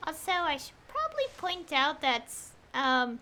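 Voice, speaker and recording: A woman's voice: high voice, sing-song, a little slow, bright, forceful tone, slight background noise. A woman giving casual livestream game commentary, hesitant and thinking aloud. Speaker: game streamer.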